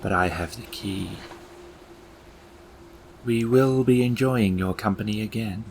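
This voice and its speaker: Man playing a slow, sinister keeper of the dead. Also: fairly low pitch, vocal fry, slight background noise. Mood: coldly courteous. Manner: slow, deliberate, ominous, formal phrasing. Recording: music bed under the voice. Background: music.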